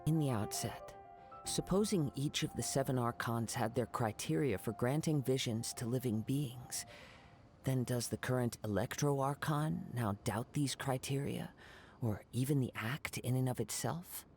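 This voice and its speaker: A voice actor playing a calm, courteous young male wandering samurai who speaks in a measured, formal and gentle way, sometimes dropping to a whisper. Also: medium pitch, faint music behind, natural voice. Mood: thoughtful. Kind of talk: soft ASMR-style fan voice acting.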